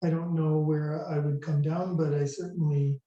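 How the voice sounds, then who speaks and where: A board member, a man, commenting politely and deliberately in a public meeting. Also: fairly high pitch, little pitch movement, lo-fi sound. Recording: roomy echoing audio.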